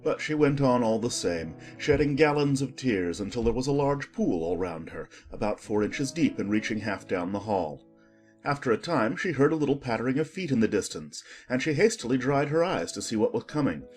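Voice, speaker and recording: A man reading scripted storybook narration in an expressive storytelling manner. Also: medium pitch, a little fast, faint music behind.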